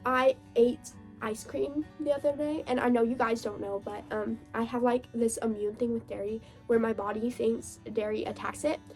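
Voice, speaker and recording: A young woman with a fairly high voice, hoarse and gravelly from a cold, chatting eagerly about her reading. She gushes, laughs easily and talks straight to her viewers. Soft music plays quietly behind her. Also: fairly even intonation, moderate pace, few pauses, low-quality recording. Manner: gushing, chatty, laughs often. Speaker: book vlogger. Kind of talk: casual reading wrap-up chat.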